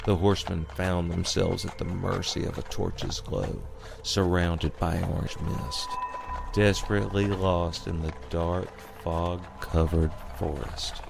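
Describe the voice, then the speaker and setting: A man's voice: low voice, little pitch movement, unhurried, creaky. A man narrating a scripted horror story in an ominous, theatrical manner. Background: music.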